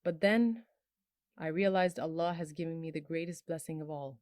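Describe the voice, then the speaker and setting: Earnest low-voiced woman in her twenties. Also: normal speed, darkish tone, no echo. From Bangladesh. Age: 20s. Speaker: storyteller sharing a personal testimony. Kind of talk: personal testimony.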